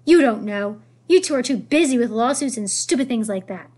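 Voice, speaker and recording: A woman acting out a fierce, blunt old grandmother, loud and husky. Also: fairly high pitch, animated, fairly quick. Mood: fierce yelling. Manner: emphatic, dismissive, repeats words.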